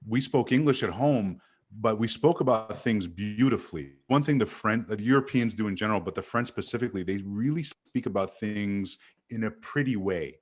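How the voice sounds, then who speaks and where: Animated man, lowish voice. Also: moderate pace. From Canada. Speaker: guest sales speaker. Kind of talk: casual video-call chat.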